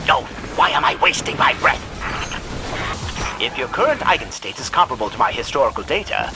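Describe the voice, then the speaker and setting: A man's voice: sits high, rough recording. An actor playing a cantankerous, obsessive older male alien scientist in a video game, pompous and theatrically indignant in manner. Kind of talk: dramatic video game voice acting.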